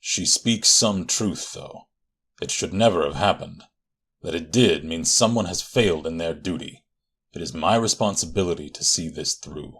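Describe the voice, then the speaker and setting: A man's voice: deep voice, moderate pace, fairly bright tone, breathy edge, full character voice. A man playing a stern, dutiful commander of a king's guard in an audio drama, grave and formal.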